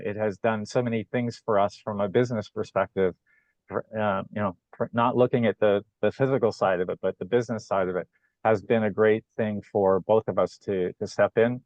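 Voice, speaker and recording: A man speaking in a measured, hesitant way with many fillers, joining a video call to talk about business. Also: on the low side, fairly even intonation, darkish tone, no room sound. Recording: dull lo-fi video call audio.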